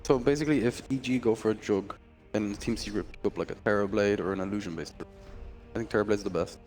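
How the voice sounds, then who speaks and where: A man's voice: on the low side, fast talker, darkish tone, soft background music. A man with an Irish accent commentating an esports draft in a chatty, joking way, sometimes tripping over his words. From Ireland. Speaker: esports caster.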